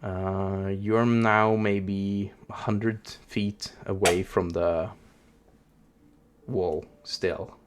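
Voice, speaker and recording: A man's voice: on the low side, steady tone, extremely slow, slight background noise. A man with a Norwegian accent narrating a tabletop game as game master, hesitant and full of fillers.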